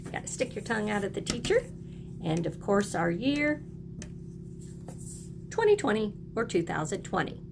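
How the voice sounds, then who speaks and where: A woman's voice: mid-range voice, sing-song, normal speed, creaky, long pauses, over music. A woman teacher leads a read-aloud lesson for young children, encouraging them and prompting them with questions and repeats.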